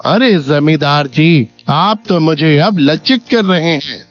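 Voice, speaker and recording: A man's voice: fairly high pitch, animated, takes it slowly. A man playing a wealthy, kindly and clever village merchant in a cartoon moral story, speaking politely and earnestly. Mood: polite, shrewd. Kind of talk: Hindi animated moral story acting. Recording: dull lo-fi sound, faint music bed.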